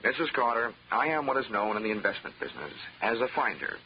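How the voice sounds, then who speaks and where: An American actor playing a wry, hard-boiled investigator in a 1940s radio drama, full of dry wisecracks. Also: male voice, medium pitch, sing-song, quick pace, a little hiss or hum, lightly acted. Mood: smooth pitch. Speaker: detective narrator and lead character. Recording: dull 1940s radio recording with music.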